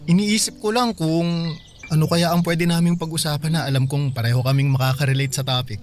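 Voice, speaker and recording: Man playing eager, higher-voiced Filipino boyfriend. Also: steady tone, a little fast, darkish tone, faint music behind, lightly acted. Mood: coaxing, earnest. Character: eager younger boyfriend, affectionate and pushing for commitment. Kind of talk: dialogue in a radio-style romance drama.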